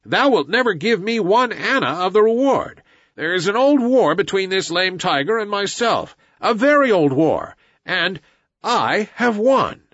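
A man acts out a proud, defiant jungle boy from a storybook. The voice is raised high and swings boldly, with lofty, declamatory delivery. The recording is dry and a little muffled. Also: sing-song, normal speed, fairly bright tone, no echo, heavily acted. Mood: scornful triumph. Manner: lofty, declamatory, archaic phrasing.

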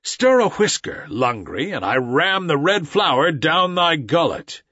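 A man voicing a defiant boy raised by wolves, with a husky voice and a dull recording. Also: a little high, very animated, a little slow, no room sound, exaggerated voice. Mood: fierce. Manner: shouting commands, then sobbing pleas.

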